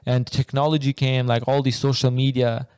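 A man's voice: medium pitch, flat delivery, moderate pace, darkish tone, clear, resonant tone, no room sound. A young man from Afghanistan, co-hosting a podcast in loose, rambling casual chat full of slang like bro and man. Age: young adult. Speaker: podcast co-host.

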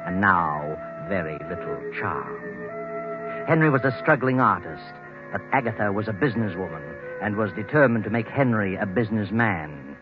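Guy acting ominous mocking night narrator, low voice, swoops around, old radio mystery. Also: natural voice. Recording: muffled vintage radio recording with music.

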